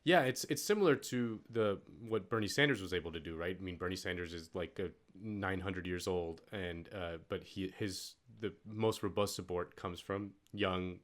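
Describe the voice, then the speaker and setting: Male host, very low voice, blunt and wry, casual political banter. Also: moderate pace, few pauses. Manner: wry, blunt, laughs often.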